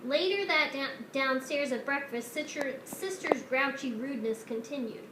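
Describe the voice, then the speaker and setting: A woman's voice: high voice, normal speed. A woman reading a children's story aloud as narrator. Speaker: storybook reader. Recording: roomy echo with faint noise.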